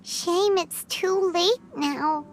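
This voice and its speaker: A woman voicing a small, curious, sympathetic childlike fairy companion in a video game, with a squeaky voice. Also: drawn-out words, heavily acted. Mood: wistful.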